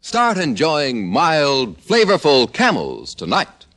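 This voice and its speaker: A man's voice: fairly high pitch, huge pitch swings, takes it slowly, a bit bright. An American man working as a 1950s radio announcer, reading sponsor copy and credits in a polished, salesmanlike way. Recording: old radio recording with music.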